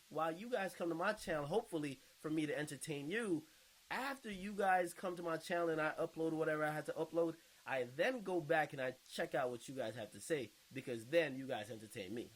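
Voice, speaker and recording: Male online host, slightly high voice, informal and slangy, comfortable swearing, off-the-cuff commentary reading. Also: low-quality recording. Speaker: online video host and podcaster. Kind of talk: casual commentary, reading and reacting to viewer comments.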